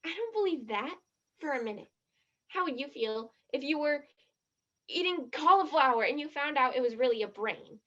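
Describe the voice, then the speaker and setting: A woman's voice: high voice, expressive, normal speed, sharp tone. An actress in a stage play as a blunt, skeptical, dramatic teenage girl, in a slightly muffled recording. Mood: indignant, accusing.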